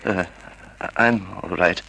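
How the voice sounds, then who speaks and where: An actor playing a timid, polite, half-blind older husband who hesitates and stammers, in an old-time radio drama. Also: male voice, low voice, measured pace, creaky, bright, forceful tone, a little hiss or hum, exaggerated voice. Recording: vintage radio drama recording.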